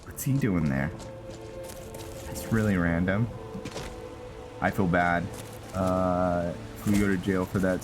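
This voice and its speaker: Guy w a low voice talking thru his game, slow n halting, music playing behind him. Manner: halting, thinking aloud. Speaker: gaming video host, player. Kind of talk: unscripted game commentary, roleplay musing.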